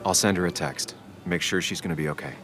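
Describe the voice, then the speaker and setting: A man acting a withdrawn, grieving game character with a very deep voice. He is brooding and self-deprecating, and speaks in short, subdued phrases. Clear music sits behind the voice. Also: fast talker, natural voice.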